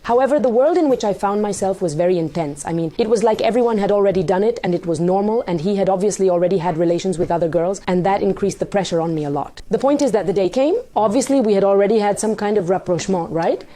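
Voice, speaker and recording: Hoarse woman vlogger, mellow voice. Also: medium pitch. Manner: candid, dramatic retelling with quoted dialogue. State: hoarse from partying, voice partly lost. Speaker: vlogger hosting her own storytime channel. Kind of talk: casual confessional storytelling to camera.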